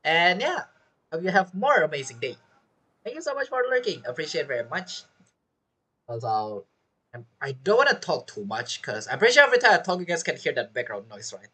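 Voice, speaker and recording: Husky game streamer with lively intonation. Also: medium pitch, a little fast. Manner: rambling, thinking aloud. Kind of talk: casual live gameplay commentary.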